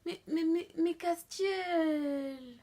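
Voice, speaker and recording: A woman's voice: very high voice, very slow, dark tone. A young woman vlogger giving a casual reaction in a slangy, exclamatory way.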